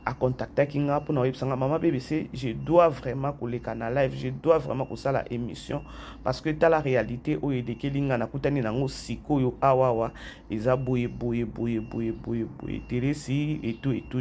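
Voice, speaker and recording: Gravelly man with a head cold. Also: medium pitch, steady tone, fairly quick, darkish tone, a little hiss or hum. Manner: chatty, repeats phrases, addresses viewers directly. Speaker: YouTube channel host. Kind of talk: casual commentary vlog, mixing French and Lingala.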